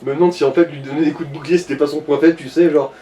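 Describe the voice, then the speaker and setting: A man's voice: on the high side, steady tone, rapid-fire, mellow. A man giving colloquial, slangy commentary as co-host of a gaming session. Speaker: let's play co-host. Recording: distant mic, faint background noise.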